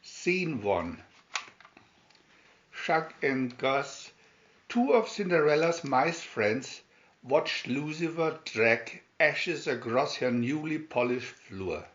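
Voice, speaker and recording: A man narrating a fairy tale aloud as scripted storybook reading, with deliberate, expressive phrasing. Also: medium pitch, slight background noise. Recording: slightly dull, lo-fi sound.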